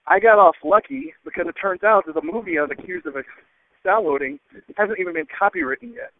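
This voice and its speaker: A man calling in to a podcast over the phone, talking casually in a halting but insistent way. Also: fairly high pitch, no echo. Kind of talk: casual cautionary account in a voicemail. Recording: tinny, band-limited phone call.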